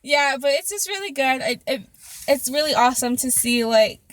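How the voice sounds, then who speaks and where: A woman's voice: high voice, expressive, normal speed. A woman guesting on a casual podcast, giggly and hesitant with many fillers, recorded on a phone inside a car. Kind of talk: casual chat.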